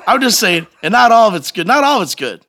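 A man's voice: sits high, big pitch swings, fast, bright, forceful tone. A man hosting a casual interview, talking in an emphatic, slangy way. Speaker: podcast host.

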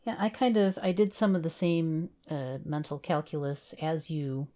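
Low, mellow-voiced woman chatting about trivia. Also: fairly even intonation, normal speed. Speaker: podcast co-host. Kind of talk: casual trivia discussion.

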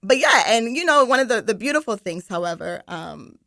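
A woman's voice: on the high side, big pitch swings, a little fast, vocal fry, sharp tone, dry recording. A young woman from Barbados, guest on a studio radio interview, chatty with many ums and laughs. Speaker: guest, marketing director. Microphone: studio microphone.

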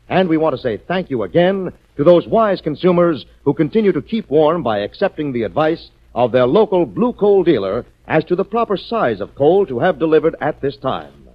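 A man delivering scripted announcing and narration in an emphatic, dramatic 1940s radio style. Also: a little high. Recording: dull old 1940s radio recording.

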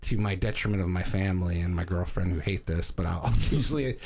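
A man's voice: low voice, fast, darkish tone. A man co-hosting a casual podcast chat, swearing often and joking about his own talents. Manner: swears a lot, boastful and joking. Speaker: podcast co-host.

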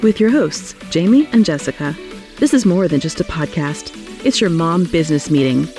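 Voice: mid-range voice, animated, moderate pace, darkish tone, faint music behind. An announcer reading a scripted, promotional show intro. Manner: polished and promotional.

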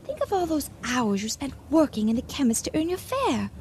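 A woman's voice: sits high, big pitch swings, fairly quick, a little mellow. An actress playing a cautious, fretting young woman, a worried confidante in a radio drama. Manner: fretful, cautioning. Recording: noisy 1980 radio drama recording.

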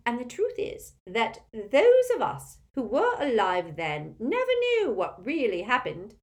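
A woman's voice: a little high, wildly swooping pitch, a little slow, voice pushed up. A woman voicing a haughty, spiteful, tipsy old witch aunt in a storybook, cackling and screechy, with an emphatic gossipy manner. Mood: gleeful, gossipy.

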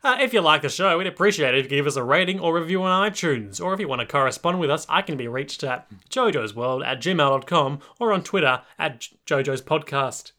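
Guy cohosting a podcast, wry and teasing, bright sharp voice. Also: a little high, expressive, a little fast, hardly any pauses. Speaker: podcast co-host. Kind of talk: casual chat.

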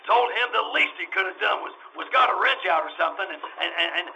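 A man's voice: high-pitched, lively intonation, fast, pushed and bright, heavily acted, poor audio. A man playing a flustered, folksy animal-show owner in old-time radio drama style, stammering and rambling as he repeats himself. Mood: indignant. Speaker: stage actor in radio troupe. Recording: faint noise, live radio re-enactment.

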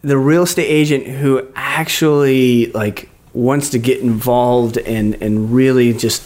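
A man's voice: mid-range voice, fairly even intonation, unhurried, darkish tone. Male podcast host, casual and talkative, garage studio sound. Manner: loose and chatty, laughs often, many fillers. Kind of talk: casual interview chat.

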